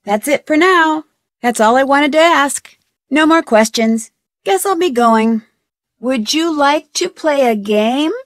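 High voice, young female sleuth, earnest, persistent questioning, video game dialogue, dry studio sound. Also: expressive, takes it slowly, no echo. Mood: friendly. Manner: polite, earnest, crisply questioning. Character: inquisitive, persistent young female amateur detective.

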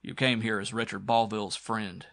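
A man's voice: lowish voice, level tone, normal speed, creaky, fairly bright tone. A man voicing a grim, vengeful adventure hero in an audiobook, speaking in a chilly, unemotional, threatening way. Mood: cold menace. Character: grim vengeful armed male adventure hero, coldly furious.

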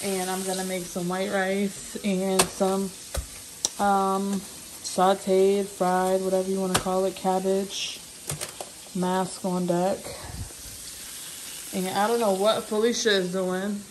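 A woman's voice: medium pitch, level tone, takes it slowly, noisy background. A young woman vlogging casually to camera in an informal, slangy, rambling way. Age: young adult. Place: parked car, then home kitchen. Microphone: phone held at arm's length.

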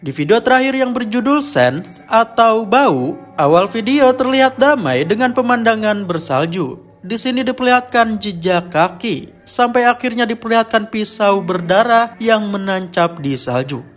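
A man's voice: sits high, lively intonation, normal speed, a bit bright. A man narrating a scripted horror explainer as host, with dramatic storytelling delivery. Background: music behind the voice.

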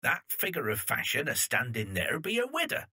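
A man's voice: on the high side, huge pitch swings, moderate pace, sharp tone, slightly rough, no echo, clean studio sound, heavily acted. A man playing a gossipy middle-aged hostler in an audio drama, with a drawling West Country accent. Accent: English West Country.

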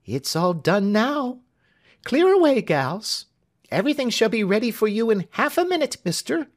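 A man voicing a bustling, clumsy, cheerful serving woman of about thirty in a storybook reading, brisk and homely. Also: high voice, sing-song, voice pushed up.

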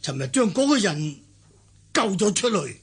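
Nervous old manor master in wuxia radio drama, hong kong cantonese, very husky, swoopy pleading voice. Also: male voice, high-pitched, big pitch swings, normal speed, full character voice. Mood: anxious, apologetic. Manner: hesitant, apologetic, pleading. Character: anxious, deferential old manor master, martial-arts recluse.

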